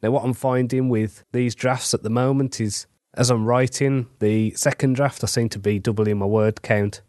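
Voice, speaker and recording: A middle-aged man from England talks in a relaxed, conversational way, his voice thick and congested from a cold. His voice sits a little low. The recording is close and dry, with no room sound. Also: dry recording. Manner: chatty, conversational, self-deprecating asides. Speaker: fantasy author. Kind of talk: casual weekly diary update.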